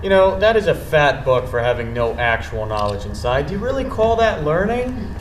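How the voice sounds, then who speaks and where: An actor on stage playing an earnest, wounded young working-class boyfriend, plain-spoken and intense. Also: male voice, on the high side, sing-song, normal speed. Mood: teasing, skeptical. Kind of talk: live stage play acting. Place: indoor theatre stage, far from microphone. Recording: distant, echoey theatre recording with faint noise.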